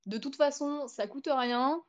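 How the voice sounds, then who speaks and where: A woman's voice: sits high, a little slow, lo-fi sound. Chatty French woman telling a story. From France. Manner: chatty storytelling with many fillers. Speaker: podcast guest. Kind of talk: casual podcast chat.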